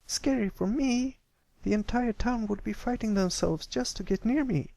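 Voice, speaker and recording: Man doing a high, brash tomboy filly. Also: moderate pace, creaky, warm tone, dry recording. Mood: indignant. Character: brash, boastful young tomboy filly pony. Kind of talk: character voice in storybook reading.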